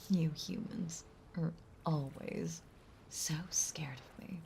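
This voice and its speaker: Woman doing a clingy yandere mermaid, breathy n slow w some creaky fry, playful but menacing, audio drama w faint sea noise behind. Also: deep voice, warm tone, in a whisper, full character voice. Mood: hurt, frustrated. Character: possessive yandere mermaid, playful, sweetly menacing young female. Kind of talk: intimate roleplay audio drama monologue. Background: ocean ambience sound effects.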